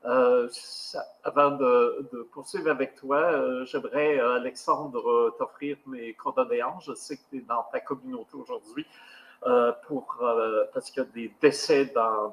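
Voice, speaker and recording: A man's voice: mid-range voice, creaky, low-quality recording. A man co-hosting a podcast, speaking casually with many hesitations. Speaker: podcast co-host.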